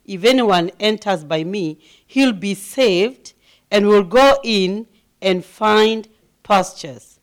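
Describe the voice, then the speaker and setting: A middle-aged woman from Kenya with a deep voice, preaching as a guest speaker, humble and given to laughing, growing loud and emphatic as she reads scripture. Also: slow. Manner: grows loud and emphatic when reading scripture. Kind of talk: sermon.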